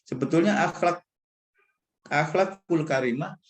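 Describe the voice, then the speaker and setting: A man's voice: a little high, a little slow. A man gives an informal religious lecture to students as a professor, in a conversational, rambling, self-deprecating way. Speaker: university professor speaking as guest mentor. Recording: echoey, roomy sound.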